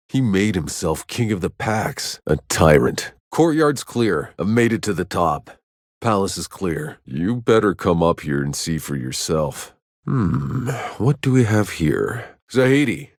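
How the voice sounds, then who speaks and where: A battle-hardened male survivor from a science fiction game. His voice sits low, and he delivers his lines tersely, with dry sarcasm and a weary edge. The sound is tight and free of room reflections. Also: no echo, heavily acted. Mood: grim, somber, then urgent, businesslike, then intent, curious. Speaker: video game protagonist.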